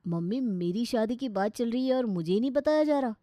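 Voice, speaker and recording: A woman acts a young, carefree Indian husband in a family tale. The delivery is chatty and complaining, with a mellow timbre. The recording is very dry. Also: fairly high pitch, lively intonation, a little fast, no room sound, lightly acted. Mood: protesting. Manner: casual, complaining.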